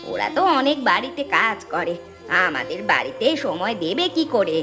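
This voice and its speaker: A woman's voice: fairly low pitch, big pitch swings, normal speed, over music. A woman voicing a moneylender's ailing, demanding wife in a cartoon story, with a complaining, insistent manner. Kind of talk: Bengali cartoon story dubbing.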